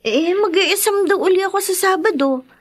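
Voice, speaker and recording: An actress playing a weary, dutiful young Filipino daughter in a radio drama. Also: female voice, very high voice, normal speed, no echo, studio quality. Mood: casual, guarded.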